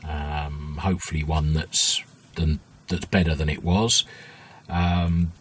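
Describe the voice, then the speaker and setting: A man giving an informal workbench tutorial as a hobbyist restorer, thinking aloud in a hesitant, unhurried way with a husky voice. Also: extremely low pitch, steady tone, slight background noise. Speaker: hobbyist radio restorer, video host.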